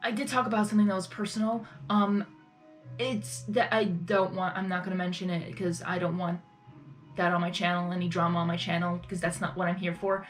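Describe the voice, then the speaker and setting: A woman's voice: on the low side, flat delivery, fairly quick, faint music behind. A woman giving casual live reaction commentary as a video host, effusive and rambling, often repeating her phrases.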